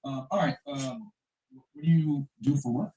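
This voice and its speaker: A man's voice: mid-range voice, moderate pace, mellow, lo-fi sound, a little hiss or hum. A man hosting a podcast, firing off blunt interview questions and joking around. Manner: blunt, joking, laughs often. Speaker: podcast host. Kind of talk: quick-fire interview questions.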